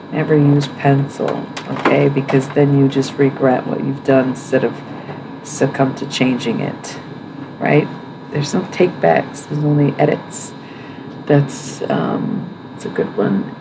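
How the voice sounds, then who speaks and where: A woman hosting a livestream, rambling and thinking aloud, with many pauses and self-corrections. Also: low voice, background noise. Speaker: livestream host. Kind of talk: musing livestream chat.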